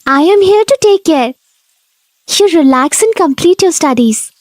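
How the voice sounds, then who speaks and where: A woman voicing a devoted young wife, earnest and encouraging, in an animated moral story. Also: very high-pitched, a little slow, no room sound. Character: young devoted wife, gentle, eager, supportive.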